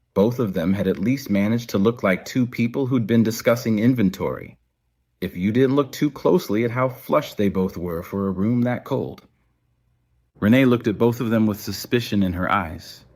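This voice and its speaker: A man's voice: on the low side, normal speed, a little mellow. A man narrating a scripted audio story as its storyteller.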